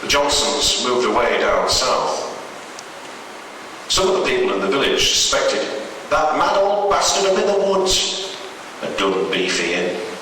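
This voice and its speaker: A man's voice: fairly low pitch, bright, forceful tone. A man telling a horror story in the first person with dramatic narration. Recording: echoey hall, far from mic, faint noise.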